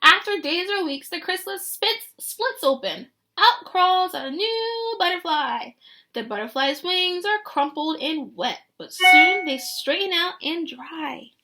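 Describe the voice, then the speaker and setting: A woman with a very high, bright voice reads aloud as a teacher to young children. Her delivery is animated and instructive, and she often turns to her listeners with questions. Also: unhurried. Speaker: teacher reading a picture book to children.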